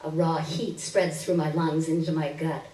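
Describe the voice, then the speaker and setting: A woman giving an expressive, theatrical reading of a first-person short story on a stage. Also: deep voice, normal speed. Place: live stage reading indoors. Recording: roomy stage, distant microphone.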